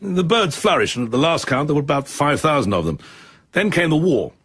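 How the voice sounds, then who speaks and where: An actor playing an efficient, level-headed military chief of staff in a radio drama, British, with a brisk, precise way of explaining. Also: male voice, on the high side, very animated, fairly bright tone, husky, no echo, exaggerated voice. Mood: briskly informative.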